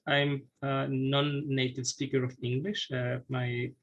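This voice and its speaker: Chilean man with a gravelly voice. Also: mid-range voice, little pitch movement, slow pace, lo-fi sound, slight background noise. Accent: Chilean Spanish. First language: Spanish. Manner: hesitant, many fillers and restarts. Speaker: workshop presenter. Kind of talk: online academic workshop talk. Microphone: video call webcam.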